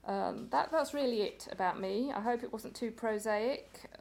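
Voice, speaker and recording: A translator speaking on a panel in a measured way, with occasional stumbles and repeated words. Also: female voice, a little high, vocal fry, a little mellow. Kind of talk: panel talk.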